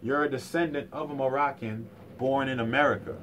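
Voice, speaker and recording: Male lecturer, mid-pitched voice, colloquial and emphatic, leaning on repetition and rhetorical questions. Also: fairly even intonation, fairly quick, fairly bright tone, muffled recording, slight background noise. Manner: emphatic, repeats key phrases for effect. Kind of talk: informal explanatory lecture.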